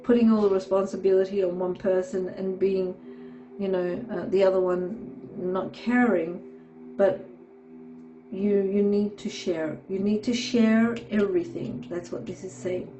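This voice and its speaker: Slow hoarse woman reading tarot. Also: medium pitch, fairly even intonation, mellow, dull audio, soft background music. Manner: halting, many fillers and "okay"s. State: hoarse from a sore throat. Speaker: tarot reader.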